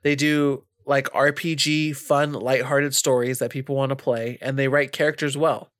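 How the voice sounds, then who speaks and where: A man's voice: mid-range voice, flat delivery, moderate pace, a bit bright, no echo, clean studio sound. A man co-hosting a casual podcast, with a nasal, stuffed-up voice and an upbeat, riffing manner. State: stuffy nose. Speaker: podcast co-host.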